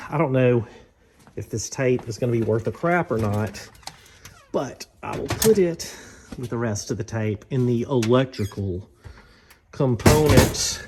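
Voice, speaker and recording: A man's voice: medium pitch, lively intonation, takes it slowly. Casual American man with noisy background. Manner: folksy, informal, thinking aloud. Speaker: vlog host. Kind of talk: casual rambling garage vlog. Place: home garage workshop.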